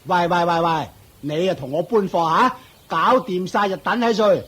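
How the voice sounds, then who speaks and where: An actor playing a mocking, contemptuous shopkeeper uncle in a Hong Kong Cantonese radio drama, drawling out sneering taunts. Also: male voice, high-pitched, normal speed, fairly bright tone, husky. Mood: irritated. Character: mocking, contemptuous shopkeeper uncle scolding his poor nephew.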